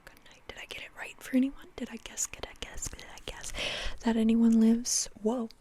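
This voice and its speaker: A woman's voice: a little high, flat delivery, normal speed, warm tone, breathy edge. A young woman whispering close to the mic in a playful, chatty ASMR sleep talk, often repeating words. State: dry mouth. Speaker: ASMR host.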